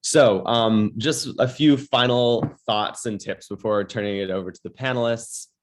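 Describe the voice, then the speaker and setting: A man moderating an online webinar, giving advice in a casual, halting way full of ums and likes. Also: mid-range voice, fairly even intonation. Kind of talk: casual presentation.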